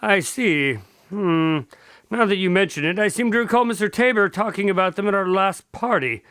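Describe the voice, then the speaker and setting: An actor playing a genial, excitable detective's sidekick in a radio drama, earnest and easily flustered. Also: male voice, high-pitched, normal speed, smooth, no echo. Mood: puzzled.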